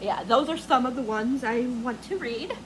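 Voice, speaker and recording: A woman's voice: on the high side, lively intonation, moderate pace, noisy background. A woman book vlogger chatting casually to viewers, gushing and rambling as she searches for words.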